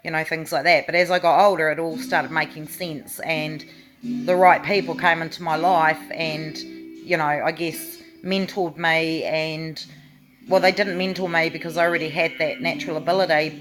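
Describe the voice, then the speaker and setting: A woman speaks to camera in a loose, rambling way, often pausing on fillers. Her voice sits on the low side and she takes her time. Music plays clearly behind her. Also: fairly even intonation, rough recording. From New Zealand. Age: 40s. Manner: rambling, many fillers and restarts. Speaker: vlogger. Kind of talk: casual talking to camera.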